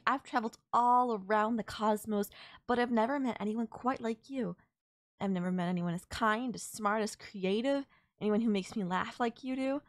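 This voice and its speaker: A woman's voice: mid-range voice, dry recording, studio quality, natural voice. A woman playing a tender, reassuring alien girlfriend in an intimate, bittersweet romantic audio roleplay. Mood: earnest, tender. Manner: soft-hearted, reassuring, gently teasing, addressing a lover. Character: tender alien girlfriend saying a sad goodbye.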